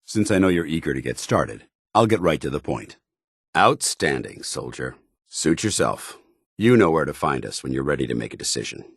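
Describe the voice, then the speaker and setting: An American man voicing a stern, earnest, duty-bound military commander in a video game, speaking in formal, measured phrases. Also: very deep voice, big pitch swings, vocal fry, slightly rough, no room sound, studio quality, full character voice. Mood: commanding. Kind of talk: video game companion dialogue.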